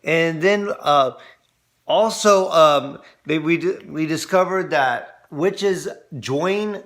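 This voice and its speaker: Bright-voiced man, halting and earnest reviewer. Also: on the high side. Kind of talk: casual episode review chat.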